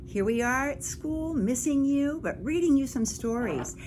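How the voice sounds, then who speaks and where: A woman reads a picture book to young children at an unhurried pace. Her delivery swings widely in pitch, with suspenseful hushes and questions for the listeners. There is clear noise in the recording. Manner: expressive, dropping into whispers for suspense. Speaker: school librarian or teacher reading to children.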